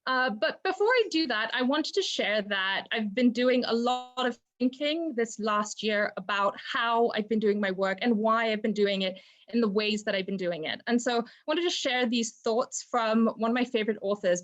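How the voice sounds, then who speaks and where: A woman's voice: fairly high pitch, moderate pace, a bit bright. A woman from Zimbabwe, an associate professor, presenting at an online academic webinar with many hesitations and restarts. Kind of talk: academic presentation over video call. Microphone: video call. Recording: dull lo-fi video call audio.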